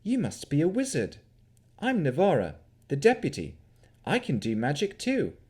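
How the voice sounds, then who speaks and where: A friendly, curious female deputy who can do magic, as a man voices her in a storybook reading. Her voice is pitched high and lightly lilting. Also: wildly swooping pitch, normal speed, a little mellow, breathy edge, exaggerated voice.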